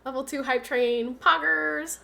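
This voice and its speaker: A woman's voice: high voice, fairly even intonation, measured pace, gravelly, bright, forceful tone, a little hiss or hum. A young woman streamer chatting casually, her voice nasal and stuffy from a cold, rambling through stories with lots of fillers. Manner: rambling, filler-heavy storytelling. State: has a cold, stuffy sinuses. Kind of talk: casual livestream chat.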